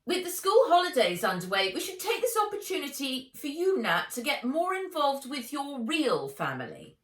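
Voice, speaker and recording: A woman's voice: sits high, big pitch swings, normal speed, voice pushed up. A woman voicing a bossy, snooty middle-aged aunt with a cold, condescending, insistent manner in a storybook reading. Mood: imperious. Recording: roomy echo, distant microphone.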